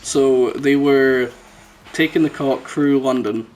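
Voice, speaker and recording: A man's voice: medium pitch, fairly even intonation, slow, a little mellow. A man hosting a casual podcast chat, talking in a sweary, teasing, bantering way. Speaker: podcast host.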